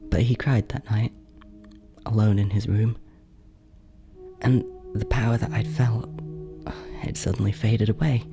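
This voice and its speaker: An actor playing a jealous, guilt-ridden older brother in a horror audio drama monologue, halting and confessional, with a gravelly, creaky voice. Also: male voice, fairly low pitch. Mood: remorseful. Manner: hesitant, confessional, halting, drops toward whispers.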